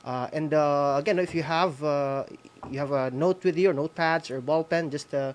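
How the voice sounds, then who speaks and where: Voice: medium pitch, normal speed. A Filipino radio-school co-host speaking casually and hesitantly, giving reminders to participants and often tagging phrases with "no?". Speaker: co-host of a school-on-the-air program.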